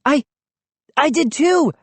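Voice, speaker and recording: A woman's voice: fairly high pitch, unhurried, dark tone, no echo, pitched above the performer's own voice. A woman voicing an anxious but daring young boy in a storybook, with an urgent, exclaiming delivery.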